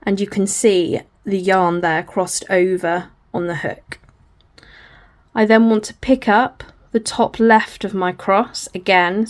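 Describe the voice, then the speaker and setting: A hoarse woman, patiently talking through a crochet tutorial step by step, often apologising as she goes. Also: lowish voice. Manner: patient, apologetic, talks through each hand movement.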